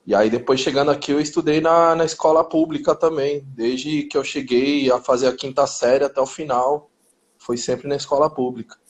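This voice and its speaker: A man in his forties, born in Chile, answering interview questions in a relaxed way with street slang and frequent restarts. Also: mid-range voice, moderate pace. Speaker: interview guest. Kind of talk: casual interview chat.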